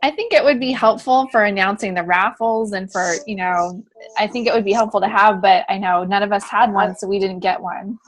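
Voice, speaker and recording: A woman's voice: medium pitch, fairly even intonation, a little fast, poor audio. A woman in a casual planning meeting, agreeable and thinking aloud.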